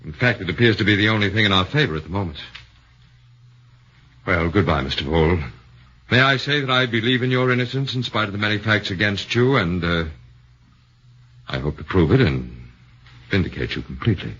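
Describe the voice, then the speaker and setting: A probing defense lawyer. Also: male voice, on the low side, animated, pushed and bright, husky, full character voice. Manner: deliberate, probing, formal. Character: measured middle-aged defense lawyer. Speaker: radio drama actor. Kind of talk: 1940s radio acting. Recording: lo-fi 1940s radio broadcast.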